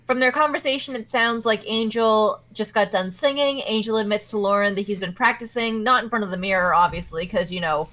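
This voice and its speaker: A woman co-hosting a casual podcast and walking through an episode's plot in a loose, chatty way. She laughs often as she talks, and her voice sits at an ordinary middle pitch. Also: a little fast, hardly any pauses, a bit bright. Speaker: podcast co-host.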